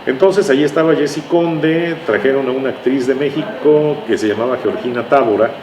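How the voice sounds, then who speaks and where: A man's voice: mid-range voice, brisk, darkish tone. A man with a Mexican accent from Tabasco, an interview guest reminiscing conversationally and often searching for words. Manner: hesitant, searching for words, repeats phrases. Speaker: interview guest, writer and radio drama veteran. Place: indoors in a bookstore. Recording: roomy echo, faint background noise.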